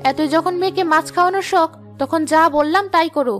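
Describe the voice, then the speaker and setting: A woman playing a hot-headed young village wife and mother in a cartoon drama, sharp and accusing, firing off rhetorical questions. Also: extremely high pitch, quick pace, faint music behind. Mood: irritated. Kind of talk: Bengali cartoon drama acting.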